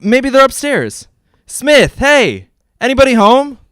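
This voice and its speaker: A man's voice: extremely high pitch, very animated, takes it slowly, a bit bright, dry recording, clean studio sound, exaggerated voice. A man playing a folksy, eager real estate agent with persuasive patter in a 1940s-style radio drama. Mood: puzzled, uneasy.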